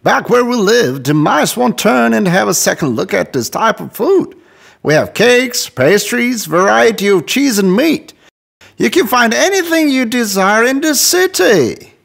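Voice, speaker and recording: Snobbish storybook city mouse, male actor, theatrical and lively with vocal fry, over music. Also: high voice, a little slow, full character voice. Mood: disdainful. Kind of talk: children's fairy tale dub.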